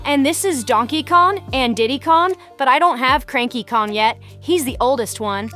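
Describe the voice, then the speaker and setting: A woman's voice: high voice, expressive, normal speed, bright, forceful tone. A woman voicing an eager, earnest little boy in an audiobook. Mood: excited. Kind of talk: audiobook character dialogue. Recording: music behind the voice.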